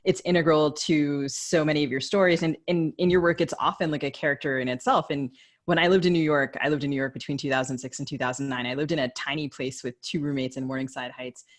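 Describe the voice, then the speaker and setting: A woman's voice: sits low, fairly even intonation, fast. An American woman co-hosting a podcast, asking wordy, informal questions with self-deprecating personal asides. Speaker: podcast co-host, interviewer.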